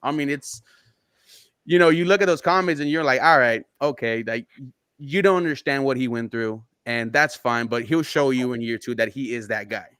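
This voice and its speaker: A man on a casual sports podcast, breaking down his team's roster with excited, rapid-fire analysis. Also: mid-range voice, smooth, no echo, studio quality. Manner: excited, fast-flowing football analysis. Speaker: podcast guest.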